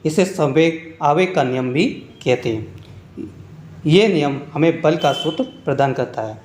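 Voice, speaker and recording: A man's voice: fairly high pitch, expressive, a little slow, darkish tone, slight background noise. A man narrating a scripted lesson as an exam-prep teacher, reading out points one by one in an instructional way. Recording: echoey room.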